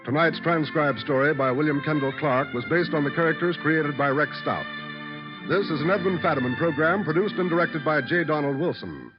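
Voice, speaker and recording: A man's voice: fairly high pitch, brisk. A man with an American accent announcing formally in the style of old-time radio, reading credits and messages. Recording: dull vintage radio broadcast recording. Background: music.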